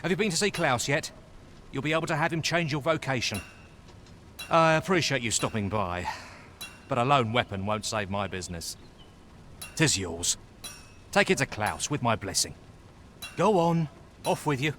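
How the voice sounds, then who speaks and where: An actor playing a hearty, put-upon shopkeeper in a fantasy game, with folksy, expressive, old-fashioned speech. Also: male voice, mid-range voice, normal speed, pauses often, slight background noise, close to an everyday voice. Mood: pleased, surprised, then resigned, generous. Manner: folksy, expressive, archaic phrasing. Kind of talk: scripted fantasy game dialogue.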